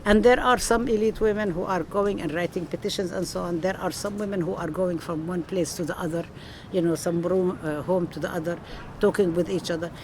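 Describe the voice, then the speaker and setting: A woman's voice: fairly low pitch, steady tone, a little fast. Earnest woman professor outdoors. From Palestine. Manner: earnest, emphatic, with frequent restarts. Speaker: professor being interviewed. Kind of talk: conversational interview. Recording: outdoor recording with street noise.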